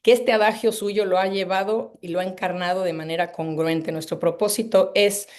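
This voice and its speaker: A woman's voice: mid-range voice, fairly even intonation, normal speed, darkish tone. Effusive woman hosting a webinar. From Mexico City. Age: 50s. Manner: effusive and rambling, with many hesitations. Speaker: webinar host. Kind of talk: online presentation to a live audience. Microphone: video call.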